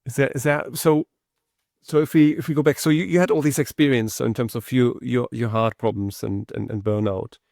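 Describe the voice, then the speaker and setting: Male interviewer, mid pitch, gravelly, halting and repetitive when asking questions. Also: steady tone, a little mellow, no room sound, clean studio sound. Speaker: podcast host.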